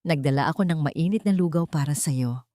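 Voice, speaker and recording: Kindly elderly village woman, played by a female narrator, motherly, soothing, soft-spoken, lowish register, for audio drama story. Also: a little fast, dry recording, studio quality, natural voice. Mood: gentle, reassuring.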